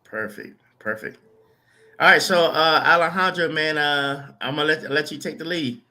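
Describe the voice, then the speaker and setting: A man's voice: a little high, normal speed, bright, forceful tone. A man hosting a casual live interview, talking informally and often repeating his words. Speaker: show host.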